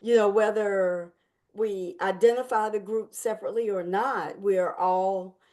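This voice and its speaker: A woman chairing an online committee meeting, speaking in a measured, encouraging way. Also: medium pitch, fairly even intonation, a little mellow.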